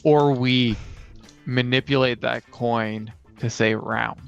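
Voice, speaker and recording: Gravelly man chatting, slightly muffled. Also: mid-range voice, steady tone, slow. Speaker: podcast co-host and tabletop player. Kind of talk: casual chat about fantasy tabletop games. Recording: dull lo-fi sound with faint music. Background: faint guitar music.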